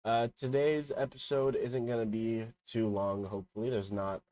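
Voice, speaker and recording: A man hosting a casual gaming podcast, sounding congested and sick, relaxed and joking with lots of fillers. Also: medium pitch, normal speed. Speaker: podcast host.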